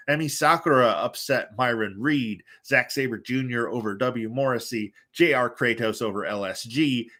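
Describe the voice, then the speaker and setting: A man's voice: medium pitch, pushed and bright. A man calling a wrestling match, congested and sleepy, rambling with lots of fillers between bursts of hype. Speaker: wrestling commentator. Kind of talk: live play-by-play commentary. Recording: music playing behind. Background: music.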